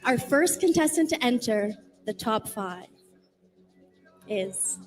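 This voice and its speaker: A woman hosting a pageant live, announcing with drawn-out suspenseful reveals. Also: fairly high pitch, expressive. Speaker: pageant host. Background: music.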